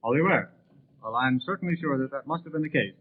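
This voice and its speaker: A man's voice: mid-range voice, expressive, fast talker, breathy edge. An American man announcing and interviewing live on 1930s radio in a formal, wordy, genial manner. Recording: tinny narrowband 1930s radio broadcast.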